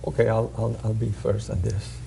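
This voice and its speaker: A man born in Puerto Rico, a guest on a talk show, telling his story haltingly with many pauses and restarts. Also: lowish voice, little pitch movement, gravelly, mellow, breathy edge.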